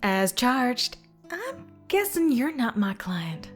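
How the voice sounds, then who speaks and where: A woman's voice: on the high side, big pitch swings, slow pace, faint music behind. A woman playing a cool, wary rogue ghost hunter. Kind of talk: audio drama acting.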